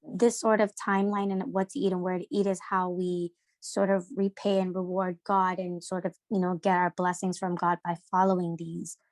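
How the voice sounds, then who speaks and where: A young woman from Sri Lanka, a podcast guest talking casually and haltingly, with many fillers and restarts. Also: on the low side, little pitch movement, no echo. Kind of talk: casual discussion.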